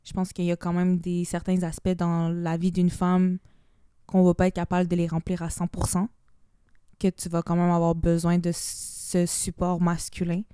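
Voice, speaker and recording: A woman's voice: on the low side, completely flat delivery, moderate pace, dark tone, clean tone, no room sound, clean studio sound. A young woman with a Québécois accent, chatting as a podcast guest, mixing in English phrases and restarting her sentences. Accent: Québécois French. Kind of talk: casual podcast conversation.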